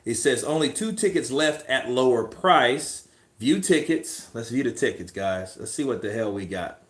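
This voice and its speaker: A man's voice: medium pitch, normal speed. Guy rambling on a boxing stream, swears a lot, echoey room. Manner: profane, rambling, animated. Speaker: boxing livestream host. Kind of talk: casual livestream chat.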